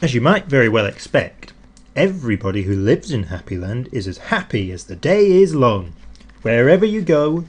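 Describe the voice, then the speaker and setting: A man narrating a children's storybook expressively, with deliberate emphasis on key words. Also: medium pitch, a little mellow. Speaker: audiobook narrator.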